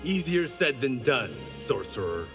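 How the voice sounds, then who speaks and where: A man's voice: mid-range voice, big pitch swings, measured pace, creaky, long reverb tail, over music. An actor voicing an honourable reformed gangster swordsman in a fighting game, dry and self-assured. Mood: defiant.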